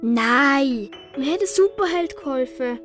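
A woman's voice: high-pitched, lively intonation, a little slow, a bit bright, faint music behind. A woman playing an earnest, secretive young boy in a children's audio story.

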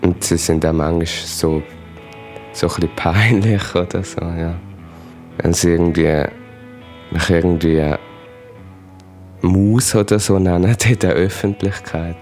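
A teenage boy talks casually and haltingly in an interview. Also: deep voice, steady tone, a little mellow, soft background music.

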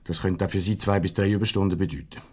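An actor playing a grumpy, curt office boss in a 1970s radio comedy, brusque and grumbling, with a Swiss German accent. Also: male voice, sits low, steady tone, fast, darkish tone, breathy edge. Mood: brisk, bossy.

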